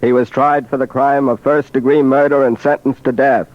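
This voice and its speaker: A man's voice: medium pitch, level tone, moderate pace, no room sound. A man narrating a crime case in scripted 1940s radio style. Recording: dull old radio recording.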